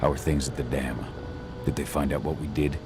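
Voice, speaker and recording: An actor playing a gruff, terse and dutiful exiled fighter in a video game. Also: male voice, a bass voice, little pitch movement, fairly quick, noisy background. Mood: businesslike. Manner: terse, stoic. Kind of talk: video game cutscene acting.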